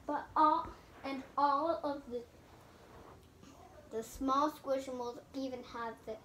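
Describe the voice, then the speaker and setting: Child storyteller, high voice, dramatic and halting, making up a toy fairy tale at an unhurried, slow pace. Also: fairly even intonation, sharp tone, slight background noise. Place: indoors in a room at home.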